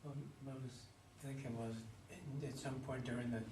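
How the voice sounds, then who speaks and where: A man in a casual group discussion, halting and searching for his words. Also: mid-range voice, fairly even intonation. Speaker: audience member in discussion. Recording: roomy, distant, faint noise.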